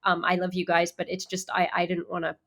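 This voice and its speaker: A woman's voice: fairly low pitch, completely flat delivery, a little fast, a bit bright. A woman from Zimbabwe who speaks with an American accent that carries faint Zimbabwean traces, chatting as an actor guest in an interview, full of fillers and restarts. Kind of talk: casual interview chat.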